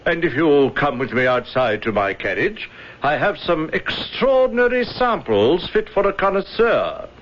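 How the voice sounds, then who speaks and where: Suave salesman, swooping high-ish voice. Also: sing-song, unhurried. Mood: furtive, ingratiating. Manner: polished, ingratiating, formal. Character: suave, furtive salesman, secretly a spy. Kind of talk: old-time radio drama acting. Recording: dull vintage radio recording.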